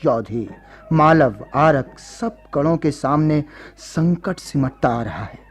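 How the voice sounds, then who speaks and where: A man playing a grave, resolute noble statesman, speaking with measured solemnity in a radio drama. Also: on the high side, animated, slightly rough, soft background music, heavily acted. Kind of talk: Hindi radio drama acting.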